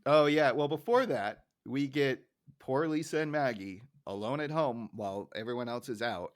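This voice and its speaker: A man's voice: medium pitch, moderate pace, crowd noise. A man hosting a podcast, casually recapping a TV episode with acted-out quotes and frequent laughs. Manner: jokey, laughs often while retelling. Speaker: podcast host.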